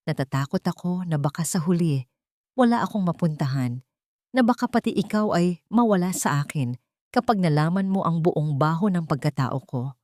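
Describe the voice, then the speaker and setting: A woman's voice: lowish voice, expressive, moderate pace, a little mellow, no room sound, clean studio sound, natural voice. A woman voicing a frightened, vulnerable young woman in love in an audio drama. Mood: fearful, intimate. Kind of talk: Tagalog audio drama storytelling.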